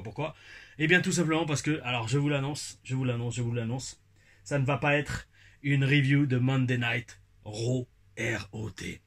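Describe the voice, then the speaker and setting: A man's voice: fairly high pitch, bright, forceful tone. A man in his forties hosting a casual wrestling review video, exuberant and rambling, repeating his catchphrases.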